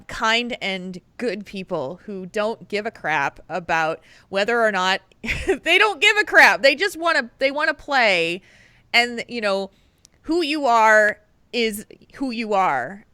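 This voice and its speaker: A woman with a bright, forceful voice hosts a casual podcast chat. She speaks earnestly and laughs easily. Her sound is dry and close to the mic. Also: mid-range voice, smooth, no room sound. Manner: earnest, friendly, frequent laughs. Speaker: podcast host.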